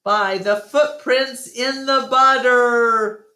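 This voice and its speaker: A woman's voice: fairly high pitch, steady tone, extremely slow, bright, forceful tone, echoey room, voice pushed up, exaggerated voice. A woman voicing a patient, easygoing adult male gorilla in a storybook, with a hesitant, explaining manner.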